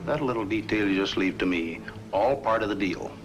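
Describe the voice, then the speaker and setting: Over a noisy old film track, a man plays an obsessive circus owner with murder behind him. His voice is low and guarded, in fifties crime-picture style. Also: fairly quick, natural voice. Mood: coolly threatening. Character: cunning circus owner turned killer, male, obsessive, guarded. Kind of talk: 1950s film noir acting.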